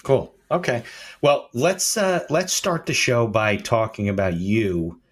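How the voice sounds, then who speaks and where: A man hosting a business podcast, reading a scripted opening and then chatting with a guest in a relaxed, conversational way. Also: medium pitch, takes it slowly. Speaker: podcast host, attorney. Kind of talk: scripted intro, then casual interview chat.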